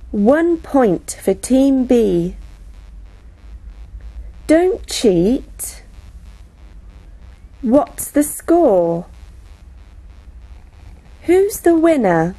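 A woman slowly reading out classroom phrases for teachers, each phrase spoken separately. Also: fairly high pitch, dark tone, poor audio. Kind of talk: slow, clearly spoken classroom English read aloud.

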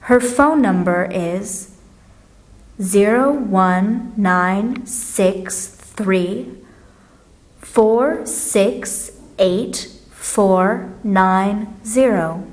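A woman playing a friendly girl in a scripted English lesson dialogue, enunciating carefully and deliberately. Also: mid-range voice, low-quality recording. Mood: plain, explanatory. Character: Sarah, friendly girl phoning a friend about a party.

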